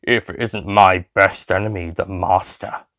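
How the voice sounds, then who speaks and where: A man's voice: low voice, steady tone, measured pace, a bit bright, dry recording. Guy doing a wry time traveler hero, kinda mocking, sci-fi story, dry close sound.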